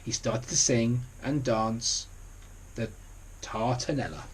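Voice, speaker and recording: A man reading a children's storybook aloud, deliberate, with conversational asides to the listener. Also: medium pitch, expressive, a little hiss or hum, rough recording. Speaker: story reader.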